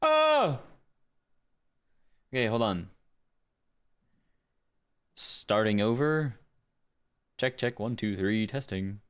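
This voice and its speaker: A man's voice: lowish voice, slow pace, long pauses, smooth. A man chatting casually on a livestream, muttering and thinking aloud as he works on audio. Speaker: livestreamer and amateur voice actor. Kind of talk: casual livestream chat while fiddling with audio software.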